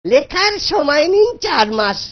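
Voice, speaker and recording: A woman voicing a wily, confident male court jester in a cartoon, measured and slyly deferential. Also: very animated. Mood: sly confidence. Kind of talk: Bengali cartoon voice acting. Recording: dull low-fidelity audio.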